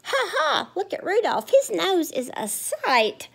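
A gleeful young reindeer from a read-aloud storybook, as a woman puts it on, taunting in a high, singsong voice. The pitch leaps up sharply and the tone stays loud and bouncy. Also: huge pitch swings, takes it slowly, gravelly, full character voice.

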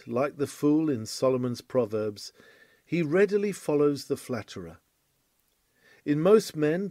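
Mellow-voiced man reading an old sermon. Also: medium pitch, no echo. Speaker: reader of a classic sermon. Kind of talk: solemn scripted sermon reading.

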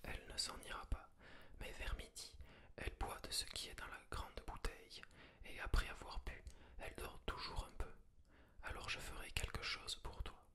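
A reader whispering the lines of a wild, cruel little robber girl from a fairy tale, in the same hushed voice as the narration. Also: moderate pace, lightly acted. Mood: teasing. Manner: whispered throughout. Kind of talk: whispered ASMR storybook reading.

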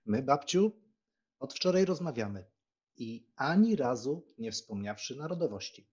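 Breezy, joking young squire played by a man, raspy-edged voice, audiobook dialogue on a slightly muffled mic. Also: medium pitch, sing-song, unhurried, darkish tone. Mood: conciliatory. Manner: wry, breezy. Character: young Polish gentleman, joking, wry brother. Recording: slightly dull, lo-fi microphone sound.

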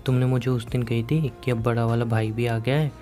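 Mellow young Indian man on a phone call. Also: mid-range voice, steady tone, fairly quick. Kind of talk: casual intimate phone chat. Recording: phone call recording, faint music.

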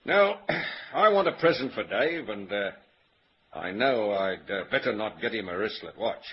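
An actor playing an older Australian country farmer and father who talks haltingly, full of hesitations, in a dull old 1930s radio recording. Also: fairly low pitch, lively intonation, breathy edge. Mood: plain.

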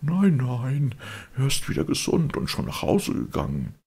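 Man putting on high-pitched, husky old village man. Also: wildly swooping pitch, measured pace, a little mellow, heavily acted. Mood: matter-of-fact. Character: old village man, plain-spoken, informative. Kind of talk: children's audiobook character voice.

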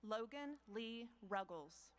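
A woman dean reading out graduates' names slowly and formally at an outdoor commencement ceremony, speaking into a podium microphone. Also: on the high side, lively intonation. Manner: slow, measured, pausing between names.

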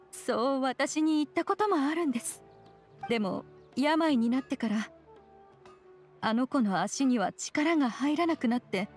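A high-voiced young woman speaks with formal, earnest politeness, a caring figure in game dialogue. Faint music runs beneath her lines.

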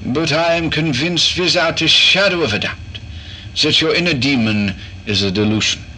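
An actor playing an eccentric, self-assured doctor who speaks formally and dismissively in an audio drama. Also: male voice, fairly high pitch, moderate pace, sharp tone, poor audio. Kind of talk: audio drama log entry.

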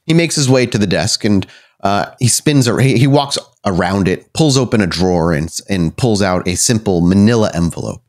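Low-voiced male game master. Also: animated, moderate pace, darkish tone. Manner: descriptive scene-setting. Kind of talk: narrating a tabletop horror game.

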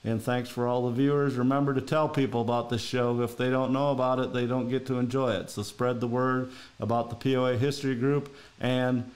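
A man's voice: medium pitch, flat delivery, brisk, few pauses, darkish tone. A middle-aged man from Minnesota with a Minnesotan accent, hosting a podcast in casual, rambling talk from home over a video call. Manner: rambling, folksy, many fillers. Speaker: podcast host. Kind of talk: casual interview chat.

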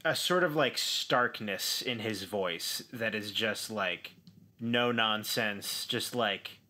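A man describing his character in a tabletop role-playing game, feeling his way haltingly and repeating words. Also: fairly low pitch, pushed and bright. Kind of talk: improvised description of a character in actual play.

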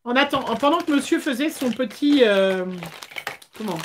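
A woman hosts a casual craft livestream from a small room. Her voice is high. She chats along with hesitant pauses, speaking into a device at arm's length. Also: animated, slight background noise.

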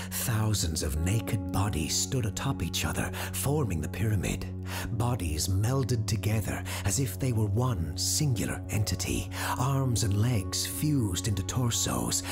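A man playing a calm expert host of the uncanny in an audio drama, measured and dropping to hushed whispers. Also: low-pitched, heavily acted. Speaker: radio host answering supernatural questions. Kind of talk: scripted audio drama narration. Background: music bed.

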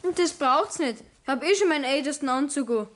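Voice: high-pitched, lively intonation, a little fast, fairly bright tone. A young actor playing a cheeky, haughty teenage apprentice boy with a Bavarian accent, grumbling offhand back talk in a 1960s radio play.

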